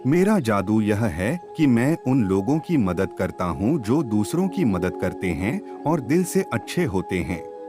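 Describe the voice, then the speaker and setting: A man voicing a kindly, wise magical tree in a cartoon story, calm and solemn. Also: fairly low pitch, unhurried, over music.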